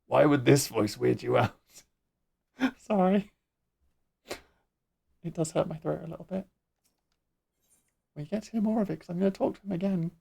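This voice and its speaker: A woman's voice: low-pitched, normal speed, vocal fry, pauses often, warm tone, husky. A woman streamer chatting playfully and casually while playing a game, laughing easily. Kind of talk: casual let's-play commentary.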